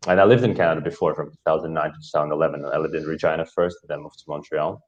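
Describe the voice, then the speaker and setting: A man's voice: extremely low pitch, fairly even intonation, very fast. A man from Kazakhstan, a guest talking over a video call in a hesitant, philosophical way. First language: Russian. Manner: hesitant, many fillers, philosophical. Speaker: guest speaker. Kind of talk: casual talk. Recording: dull lo-fi call audio.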